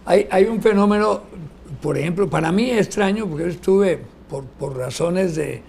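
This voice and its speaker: An older man speaks in a reflective, drawn-out manner. His voice is gravelly with plenty of fry, yet fairly high-pitched. A faint hiss lies under the talk. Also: darkish tone. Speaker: panelist. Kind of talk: casual chat.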